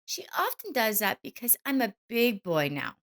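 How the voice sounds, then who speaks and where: Woman acting a small boy, high-pitched, earnest, sweet, affectionate, childlike phrasing, audio story. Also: huge pitch swings, normal speed, a bit bright, heavily acted. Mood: innocent, chatty. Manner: simple childlike speech, solemn and sweet.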